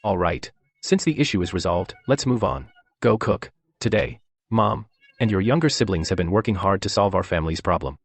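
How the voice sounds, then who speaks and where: Deep, animated, domineering husband played by a man. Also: normal speed, no room sound. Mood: smugly commanding. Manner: bossy, condescending. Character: domineering, self-righteous eldest son and husband. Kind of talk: dubbed family radio drama.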